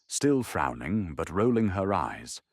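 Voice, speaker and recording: A man's voice: sits low, sing-song, takes it slowly, slightly rough, no room sound, clean studio sound. A man narrating an audio drama in a dramatic, scripted storytelling style.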